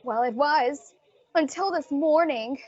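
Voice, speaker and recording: A woman's voice: high voice, takes it slowly, darkish tone. A woman playing an earnest, frazzled department store advertising executive in an old-time radio drama. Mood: worried, wry. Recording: dry, dull lo-fi audio.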